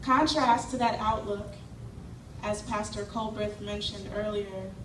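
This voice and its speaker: A woman from Cincinnati giving an earnest, measured prepared speech as a community organizer. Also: mid-range voice, fairly even intonation. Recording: echoey hall, far mic, noisy.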